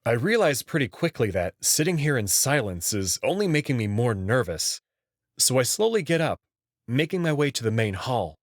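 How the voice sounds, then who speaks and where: A man reading a visual novel aloud in the first person, in an expressive, nervous way. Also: medium pitch, normal speed, no echo. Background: background music.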